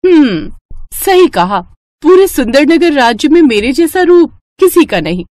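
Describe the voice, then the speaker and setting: A woman's voice: high voice, sing-song, a little slow, darkish tone, dry recording, clean studio sound, voice pushed up. A woman voicing a vain, cruel young princess in a cartoon story, haughty and commanding. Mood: haughty, demanding. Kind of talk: Hindi cartoon moral story acting.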